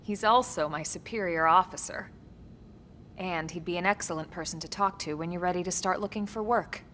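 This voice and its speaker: Woman voicing female game soldier, lowish voice, composed, measured, serious, dutiful, quietly caring. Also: a little hiss or hum, close to an everyday voice. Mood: calm, businesslike. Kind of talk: video game cutscene acting.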